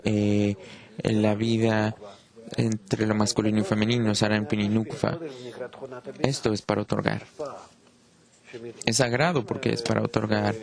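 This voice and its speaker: A man, not a native Spanish speaker, giving an unscripted Kabbalah lesson with many pauses and restarts. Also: lowish voice, vocal fry. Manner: halting, frequent "eh" pauses and restarts. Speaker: Kabbalah teacher. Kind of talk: unscripted lecture.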